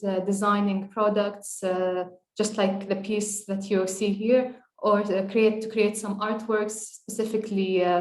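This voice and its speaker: A young woman explains her design piece over a video call, filling pauses with uh as she goes. Her voice sits at a middle pitch, and the room around her rings with echo. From Syria. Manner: hesitant, many uh fillers, measured explaining. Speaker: architect and past prize winner presenting her work. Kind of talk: presenting slides in a webinar.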